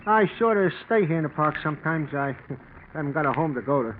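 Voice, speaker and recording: Casting: male actor for middle-aged down-and-out tramp, 1940s radio play, higher-pitched, meek, wary, folksy slang, phone-like band. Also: animated, fairly quick, natural voice. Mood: meek, pleading. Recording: tinny, noisy 1940s radio recording.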